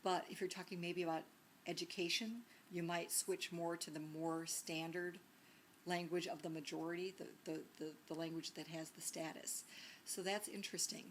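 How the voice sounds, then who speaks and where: A woman's voice: lowish voice, level tone, moderate pace, slight background noise. A woman teacher giving a recorded lecture in a conversational way, with many ums and restarts and frequent rhetorical questions.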